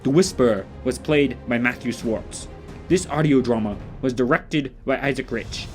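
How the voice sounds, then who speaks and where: A man's voice: mid-range voice, moderate pace, darkish tone, soft background music. Man reading scripted production credits. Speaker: credits announcer.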